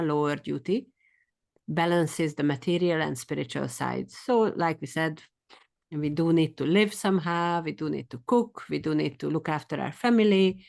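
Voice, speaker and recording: A woman's voice: low voice, vocal fry. Eager Hungarian woman teaching a class. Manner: quick and eager, sometimes runs fast. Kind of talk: scripture study class.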